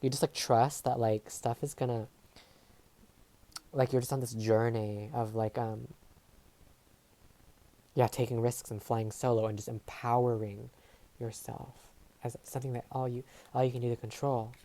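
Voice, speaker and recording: Woman, Tagalog first language, very low pitch, meandering tarot-reading delivery with lots of fillers. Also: little pitch movement, moderate pace, pauses often, mellow. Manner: meandering, hesitant, full of fillers and trailing phrases. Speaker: tarot reader. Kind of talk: casual tarot reading monologue. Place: home filming setup. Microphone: overhead camera setup.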